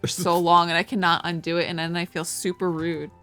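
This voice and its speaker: A woman's voice: fairly low pitch, flat delivery, a little fast, fairly bright tone. A woman co-hosting a casual game playthrough, bantering in a playful, mock-indignant way. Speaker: gaming channel co-host. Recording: music playing behind.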